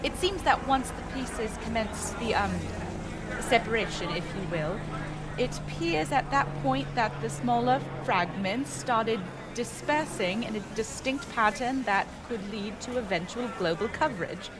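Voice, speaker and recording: Careful, higher-voiced news correspondent. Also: female voice, animated, a little slow, noisy background. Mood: cautious, serious. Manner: careful, hesitant. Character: measured female foreign news correspondent, cautious. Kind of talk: radio drama news bulletin.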